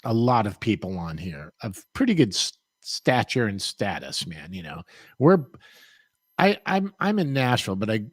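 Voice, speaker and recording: A man's voice: on the low side, very animated, moderate pace, slightly rough, dry recording, clean studio sound. A middle-aged man from San Diego hosting a podcast, rambling in a laid-back way and often adding "man". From San Diego, USA. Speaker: podcast host. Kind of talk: casual interview chat.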